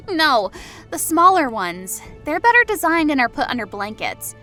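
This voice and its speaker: Casting: actress playing a conversational woman in a fantasy audiobook, fairly high voice, music underneath. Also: big pitch swings, unhurried, bright, forceful tone, exaggerated voice. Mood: amused. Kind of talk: acted audio story dialogue.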